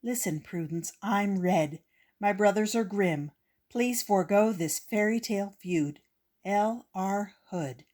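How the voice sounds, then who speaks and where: Lady reading out teasing storybook letter from a fairy tale character, slow, kinda chiding. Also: mid-range voice, darkish tone.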